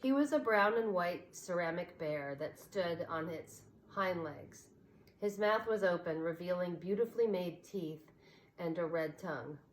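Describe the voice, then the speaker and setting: A woman teacher reading a children's novel aloud, expressive and changing voices for the characters. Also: lowish voice, unhurried, a little hiss or hum, poor audio.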